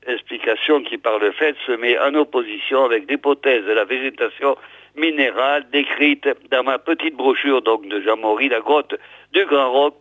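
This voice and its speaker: A hoarse man hosting a regional radio show, hesitantly introducing and reading out old press articles with many fillers and restarts. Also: medium pitch, no echo. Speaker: radio host. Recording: tinny phone-like radio sound, dry.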